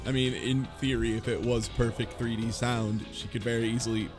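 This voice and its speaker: A man's voice: medium pitch, little pitch movement, a little fast. A man in a casual group discussion, wry and speculative in his way of talking. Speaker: book club podcast panelist. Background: music behind the voice.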